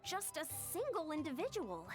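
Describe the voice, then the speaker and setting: A woman voicing a young female warrior officer in a video game, formal, courteous, brisk and earnest. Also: very high-pitched, huge pitch swings, exaggerated voice. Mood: earnest, explanatory. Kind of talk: English video game voice acting. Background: game music.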